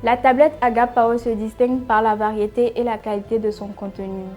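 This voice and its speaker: Mellow, flat-voiced woman narrator. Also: a little high, a little fast, faint music behind. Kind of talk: scripted narration.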